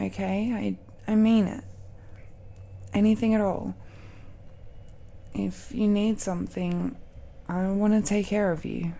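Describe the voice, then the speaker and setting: Woman acting as slow, tender dragon-blooded girlfriend. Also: mid-range voice. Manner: hesitant, halting, with breathy murmurs and soft laughs. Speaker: voice actor in audio roleplay. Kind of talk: intimate romantic audio roleplay.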